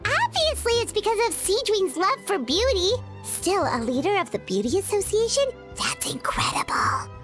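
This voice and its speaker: A woman voicing a small, excitable childlike fairy companion in a cartoonish video game, exuberant and cheeky. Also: extremely high pitch, moderate pace, music in the background, exaggerated voice. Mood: amazed. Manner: exuberant, cheeky, refers to self in third person.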